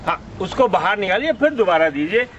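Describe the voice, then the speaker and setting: A man with a notably high voice instructs a practical first aid class. He goes through the technique step by step and checks that it is understood. The outdoor recording is dull and muffled. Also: expressive, brisk, crowd noise. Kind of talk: hands-on demonstration teaching.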